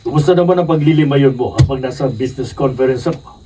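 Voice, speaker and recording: A man's voice: medium pitch, quick pace, warm tone, far from the mic, a little hiss or hum, lightly acted. An actor playing a defensive, pompous businessman husband in a radio drama, stern and self-justifying.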